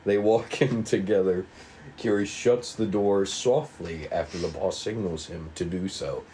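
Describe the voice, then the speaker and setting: A man reading a script's stage directions at a table read, casual and halting, with asides. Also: low-pitched, warm tone, a little hiss or hum, rough recording. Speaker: script reader.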